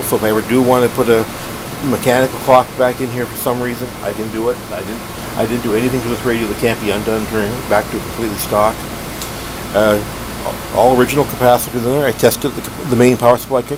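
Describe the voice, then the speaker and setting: Behind this man's voice there is clearly audible noise, as in a homemade recording. His voice sits on the low side, and he talks casually and matter-of-factly. He rambles without a script, like a hobbyist showing off his gear. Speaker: radio hobbyist presenting his equipment. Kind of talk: casual unscripted show-and-tell.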